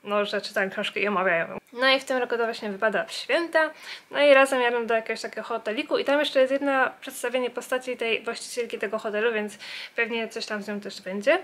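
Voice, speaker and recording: Girl vlogger w a highish voice, chatty casual talk to her viewers. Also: steady tone, quick pace, a bit bright. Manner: chatty, informal, addressing viewers. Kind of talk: casual vlog chat.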